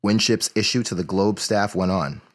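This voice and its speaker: A man acting as a measured, deliberate chronicler of newspaper history in an audio play. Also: fairly low pitch, noisy background, close to an everyday voice. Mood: measured, formal.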